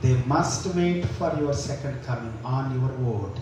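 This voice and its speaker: A man leading a fervent spoken prayer as a pastor, impassioned, stretching some phrases slowly. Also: mid-range voice, lively intonation, background noise. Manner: impassioned, with slow drawn-out English phrases. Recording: echoey, distant mic with guitar music. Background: soft guitar playing.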